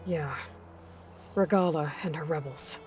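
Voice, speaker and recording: Casting: a skeptical, defiant young game heroine, fairly low register, blunt delivery. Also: female voice, a little slow, darkish tone, soft background music. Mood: guarded. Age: young adult. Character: wary, defiant young warrior heroine in her twenties. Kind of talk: cinematic video game acting.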